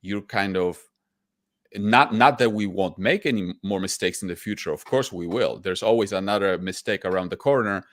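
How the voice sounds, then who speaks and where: Guy in his 40s, lowish voice, dry close sound, chatting candid about his path, lots of restarts. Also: moderate pace, a bit bright, no echo. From Poland. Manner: candid and reflective, with frequent restarts. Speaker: guest, stock investor. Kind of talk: casual interview storytelling.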